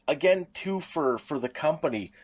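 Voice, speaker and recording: A middle-aged man in casual conversation as a podcast co-host, recollecting in a halting, rambling way. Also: a little high, expressive. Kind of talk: casual chat.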